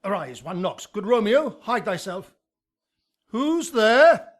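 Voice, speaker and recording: An actor playing an admonishing friar in a Shakespearean radio drama, with commanding, declamatory delivery. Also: male voice, sits high, very animated, slow, full character voice. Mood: grave, urgent. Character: Friar Lawrence, urgent, admonishing holy friar.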